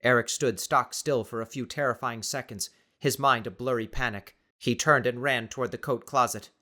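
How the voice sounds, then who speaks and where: Casting: male narrator for dramatic fiction audiobook, average-pitched, scripted storytelling delivery. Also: fairly even intonation, normal speed, sharp tone.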